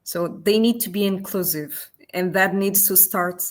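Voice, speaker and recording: A woman from Portugal, an engineer speaking on a panel, earnest and pausing often to find her words. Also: medium pitch. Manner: earnest, searching for words with frequent restarts. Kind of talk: panel discussion.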